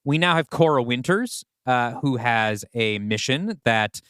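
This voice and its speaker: A man's voice: medium pitch, expressive, unhurried, fairly bright tone, smooth, no echo, studio quality. A man co-hosting a podcast, talking through story plans in a casual, explanatory, conversational way. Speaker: podcast co-host. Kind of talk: casual story-planning discussion.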